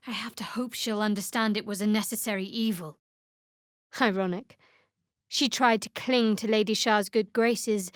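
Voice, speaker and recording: A woman's voice: on the high side, dry recording, clean studio sound, natural voice. A woman playing a guarded, sardonic young female cleric in a fantasy video game, speaking in a cool, wry, clipped manner.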